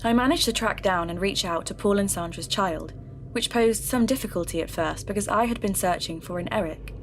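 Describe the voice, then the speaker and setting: An actress playing an inquisitive, considerate podcast host, composed and gently probing in an audio drama. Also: female voice, medium pitch, a little fast, slight background noise, close to an everyday voice. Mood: composed, intrigued. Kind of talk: scripted podcast narration and interview.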